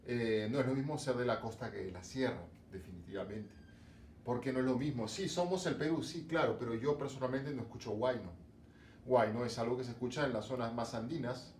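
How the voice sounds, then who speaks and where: A man's voice: mid-range voice, brisk, a little mellow, echoey room, distant mic, a little hiss or hum. A man from Lima with a Peruvian accent, giving a religion lesson with lots of rhetorical questions and fillers. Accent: Peruvian Spanish, Lima. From Peru, coast (Lima). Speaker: religion teacher. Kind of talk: online class lecture to students.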